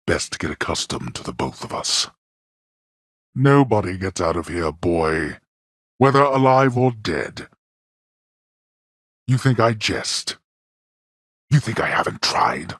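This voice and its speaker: A haughty underworld god-king, extremely deep, husky, slow deliberate delivery, game voice. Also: fairly bright tone, no echo, studio quality, full character voice. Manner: haughty, scornful, commanding. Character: stern, contemptuous male god-king of the underworld, harsh father. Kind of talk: video game voice acting.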